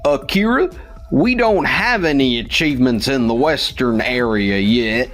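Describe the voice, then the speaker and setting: Manga dub: polite, cautious office colleague male actor, unhurried, expressive mid-range delivery over music. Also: medium pitch. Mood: apologetic. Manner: polite, earnest. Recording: music under the voice.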